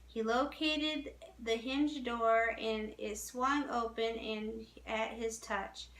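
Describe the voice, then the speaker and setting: A woman reading a story aloud as narration, deliberately, with small stumbles over the text, in a roomy, echoing recording. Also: fairly high pitch, steady tone, a bit bright.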